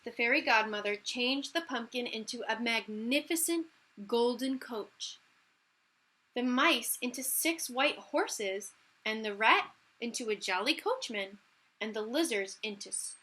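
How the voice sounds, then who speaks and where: A woman reading a children's story aloud as a teacher, in an animated, emphatic way with key words drawn out. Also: on the high side, measured pace. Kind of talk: expressive children's read-aloud narration.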